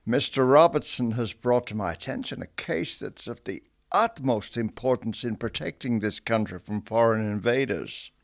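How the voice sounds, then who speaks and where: A scheming Texas Ranger from a western radio drama, acted by a man. He is smug and self-important, with a falsely genial manner that carries menace. His voice sits at a medium pitch. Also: normal speed, creaky, few pauses, natural voice. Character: sly, scheming Texas Ranger with delusions of grandeur.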